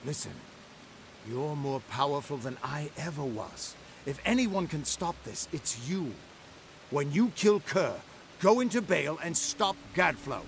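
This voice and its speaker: An actor playing a battle-weary rebel leader in a fantasy game, earnest and commanding, with emphatic delivery. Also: male voice, fairly high pitch, very animated, a little slow, slight background noise. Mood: urgent, resolute. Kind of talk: dramatic video game dialogue. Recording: music under the dialogue.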